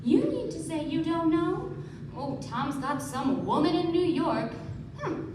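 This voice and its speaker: Cool, gossipy young sportswoman, slow theatrical drawl; distant stage radio-drama sound. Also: very high-pitched, very animated, heavily acted. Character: cool, gossipy young female golf champion. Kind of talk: live radio play acting. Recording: echoey stage recording from afar, noisy.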